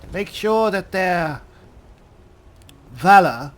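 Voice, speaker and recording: A man voicing a wry high elf spellsword and reluctant leader in a video game roleplay, with dry, halting, deliberate delivery. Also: animated, fairly bright tone, slight background noise, heavily acted. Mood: wry rallying.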